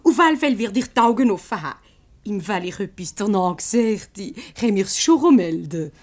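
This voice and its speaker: An actress playing a prim, self-righteous office busybody in a Swiss German radio comedy. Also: female voice, mid-range voice, expressive, moderate pace, natural voice.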